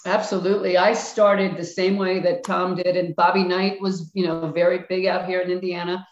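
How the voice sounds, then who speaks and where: A woman's voice: fairly low pitch, level tone, moderate pace. Older Philly woman, frank retired coach; roomy, muffled sound. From Philadelphia. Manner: frank, plainspoken, acts out quotes of herself and players. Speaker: retired basketball coach, guest. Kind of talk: reflective interview answers. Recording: echoey, dull-sounding room.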